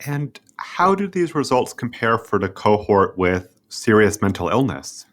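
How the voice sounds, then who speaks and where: A man's voice: fairly low pitch, big pitch swings, a little slow, fairly bright tone. A man hosting a medical research interview podcast and putting questions to a guest.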